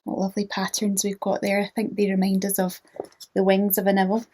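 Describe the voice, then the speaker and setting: A woman narrating a children's storybook in a gentle manner, inviting young listeners to join in. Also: medium pitch, monotone, brisk.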